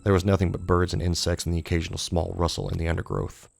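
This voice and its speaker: Very deep, gravelly man narrating horror. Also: flat delivery, fast, no echo, faint music behind. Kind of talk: scripted horror audiobook narration.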